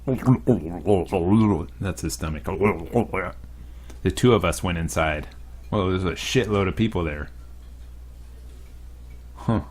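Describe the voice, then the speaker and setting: Young guy with a really deep voice reading a game out loud, cracking dry jokes in between. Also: drawn-out words, long pauses, breathy edge. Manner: dry, joking asides between narration. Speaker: let's play host. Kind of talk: reading a visual novel aloud with commentary.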